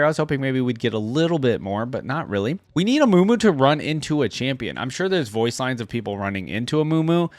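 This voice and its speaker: A man's voice: mid-range voice, no room sound. A man hosting a fan reaction video, reading aloud and commenting in an informal, conversational way as he thinks aloud.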